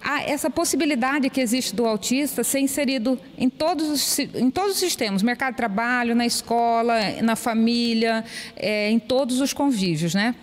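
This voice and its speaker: A woman answering an interview in a hesitant way, with pauses and restarts, inside an event hall. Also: a little high, expressive. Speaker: association president. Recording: reverberant hall with faint noise.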